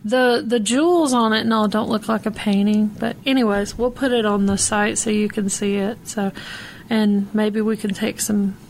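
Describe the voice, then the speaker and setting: A woman co-hosting a podcast in casual chat, dry-humored and quick to laugh. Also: medium pitch, fairly even intonation, gravelly, slight background noise, rough recording. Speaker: podcast co-host.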